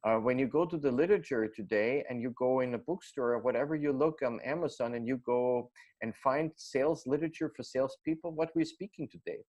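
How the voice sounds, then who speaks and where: A man's voice: mid-range voice, a little fast, a little mellow, rough recording. Austrian guy being interviewed, thick accent, lots of uhs and restarts. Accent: Austrian German. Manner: hesitant, many fillers and restarts. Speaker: guest. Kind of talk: casual interview.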